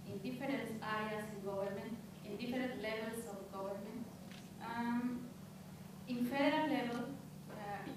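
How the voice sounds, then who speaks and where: A woman with a trained economist's background lays out her past work in a measured, orderly way. Her voice is a little high, and it carries a heavy room echo with noise behind it. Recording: echoey room, distant mic, noisy.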